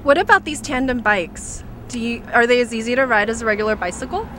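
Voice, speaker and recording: A woman's voice: fairly high pitch, lively intonation, fast, sharp tone, slight background noise. A young woman hosting a TV segment, asking cheerful scripted questions into a handheld mic outdoors. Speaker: TV host. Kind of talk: upbeat presenting and interviewing. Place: outdoors at a bike rental lot.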